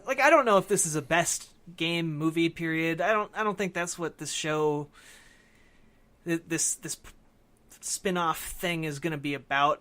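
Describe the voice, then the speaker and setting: A man's voice: on the high side, a bit bright. A man co-hosting a podcast, discussing films casually and often stopping to correct himself mid-thought. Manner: halting, self-correcting speech. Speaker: podcast co-host.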